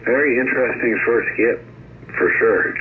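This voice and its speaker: An American man chatting casually as a radio operator, heard through a CB radio, agreeable and measured. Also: mid-range voice. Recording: tinny narrowband CB radio, faint static.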